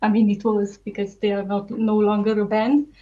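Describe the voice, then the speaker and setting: A woman with a Romanian accent, a guest on a casual interview, speaking hesitantly with many fillers and quick laughs over a video call. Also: medium pitch, flat delivery, warm tone, highs cut off. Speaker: guest artist.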